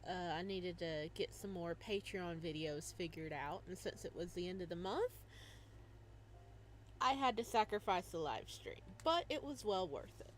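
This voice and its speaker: A woman's voice: on the low side, expressive, moderate pace, slight background noise. A young woman hosting a casual live stream, chatting and laughing as she plays a visual novel and greets her viewers by name. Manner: bubbly, giggly, greets chatters by name, hums to herself.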